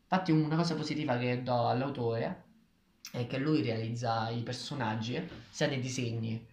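A man's voice: medium pitch, steady tone, moderate pace, a little mellow. A man in his twenties giving opinions casually and thinking aloud, picked up by a camera across a kitchen table. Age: 20s. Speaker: book reviewer. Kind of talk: casual discussion of a graphic novel. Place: small tiled kitchen, indoors. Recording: echoey room, camera at a distance.

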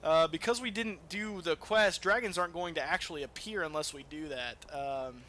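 Man, higher-pitched bright voice, casual gameplay commentary, salty language, noisy recording. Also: moderate pace. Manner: profane, animated trash-talk. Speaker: let's play host.

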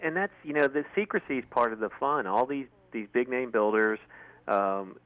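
A man's voice: mid-range voice, moderate pace, muffled recording. Eager man as a radio guest. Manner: enthusiastic, many fillers. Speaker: guest, event organizer. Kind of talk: casual radio interview. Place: radio studio.

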